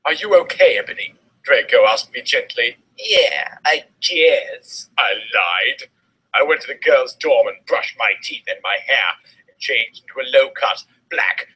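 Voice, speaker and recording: A man's voice: medium pitch, bright, forceful tone, husky, low-quality recording. A man narrating a fan fiction story as a theatrical, exaggerated comedic dramatic reading. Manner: melodramatic, exaggerated.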